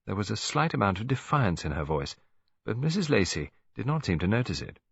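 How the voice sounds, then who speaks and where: An English man narrates a story as an audiobook reader. His voice is fairly low and husky, with animated intonation. The recording is slightly dull. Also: fairly quick, no echo.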